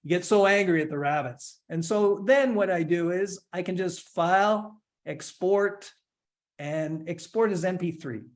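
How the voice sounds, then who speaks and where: Male instructor in his forties, casual tutorial delivery, step-by-step with light humor, slightly high voice. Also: measured pace. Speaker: instructor giving a software demo. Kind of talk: screen-share tutorial, casual explanation.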